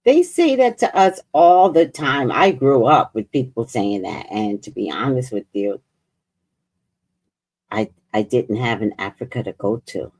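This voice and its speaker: A woman's voice: a bass voice, lively intonation, a little mellow, breathy edge. A woman vlogger giving blunt, profane commentary as she reacts to clips. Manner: outspoken, profane, drawn-out emphatic delivery. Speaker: vlogger host.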